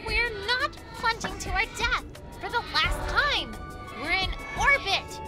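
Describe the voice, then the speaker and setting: A woman's voice: very high voice, animated, measured pace, music in the background. A woman playing an exasperated magic-wielding pony in an audio drama, insistent and scolding. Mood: stern.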